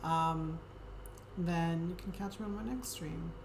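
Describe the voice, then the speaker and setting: Low-voiced woman streamer in an echoey room. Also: slow pace. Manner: soft, friendly, hesitant with many ums. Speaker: Twitch streamer. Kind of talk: casual chat with viewers. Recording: echoey room with steady background noise.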